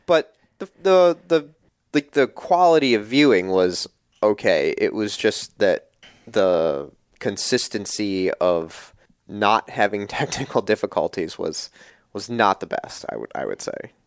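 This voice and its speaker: A man co-hosts a sports podcast in a rambling, joking way, often restarting his sentences. His voice sits a bit low, and the recording is dry and close. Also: animated, a little slow, clear, resonant tone, dry recording. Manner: rambling, jokey, lots of restarts. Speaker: podcast co-host. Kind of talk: casual sports chat.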